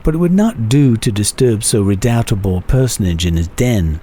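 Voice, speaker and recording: A man giving a measured, theatrical dramatic reading of a classic short story as its narrator. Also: lowish voice, lively intonation, dark tone. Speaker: narrator, reader.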